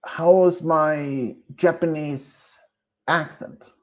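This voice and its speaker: A Swedish-accented man chats to his stream in slow, deliberate English, his voice sitting a little high for a man. He stops often, filling the gaps with ums and uhs as he loses his thread. The lo-fi mic makes everything sound muffled and dull. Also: steady tone. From Sweden. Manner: hesitant and rambling, with many pauses and fillers. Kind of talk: casual live-stream chat.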